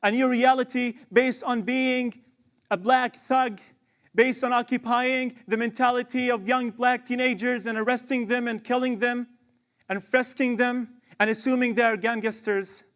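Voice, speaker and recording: A man's voice: extremely high pitch, steady tone, a little slow, a bit bright. A young man from Palestine, performing impassioned spoken word poetry with rhetorical questions and repeated refrains. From Palestine, West Bank.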